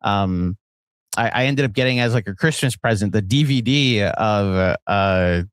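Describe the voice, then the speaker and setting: A man's voice: fairly low pitch, unhurried, smooth, no echo. An American man co-hosting a sports podcast, telling stories in a rambling, conversational way. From Virginia, United States. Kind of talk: casual sports chat.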